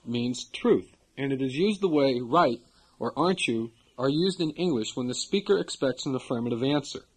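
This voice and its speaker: A man narrating a language course in a measured, formal instructional manner. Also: mid-range voice, expressive, husky, poor audio.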